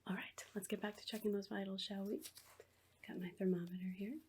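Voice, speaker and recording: A woman playing a sinister asylum nurse in a horror ASMR roleplay, whispering in a breathy, coaxing, falsely reassuring way. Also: medium pitch, little pitch movement, brisk, darkish tone, slight background noise, lightly acted. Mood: soothing, clinical. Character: sinister asylum nurse, soothing on the surface, quietly menacing.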